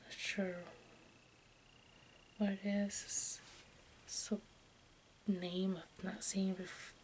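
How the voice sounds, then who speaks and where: A woman reading aloud in ASMR style in a soft, breathy whisper and hushed speech, halting as she goes. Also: medium pitch, level tone. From United States. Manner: halting, stumbles over names and words. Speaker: ASMR video creator. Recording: faint background noise.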